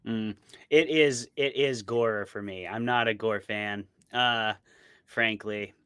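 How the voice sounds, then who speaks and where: A man's voice: fairly low pitch, fairly even intonation, takes it slowly, fairly bright tone, clear, resonant tone, no room sound, studio quality. A man speaking as an interview guest in a casual chat, giving candid, opinionated answers. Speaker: interview guest, horror author.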